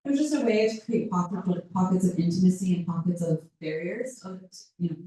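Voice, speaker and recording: A woman's voice: lowish voice, brisk, warm tone. A woman author talking at a live book event, reflective and searching for words as she answers. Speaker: author in conversation. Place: event room with audience. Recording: roomy hall picked up from far off.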